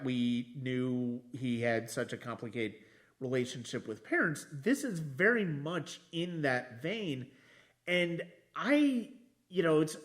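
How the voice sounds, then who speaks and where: Man, fairly high pitch, slow pace, halting delivery, rephrases often, casual movie talk. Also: fairly bright tone. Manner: halting, circling back to rephrase. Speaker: film podcast host. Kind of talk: casual film discussion.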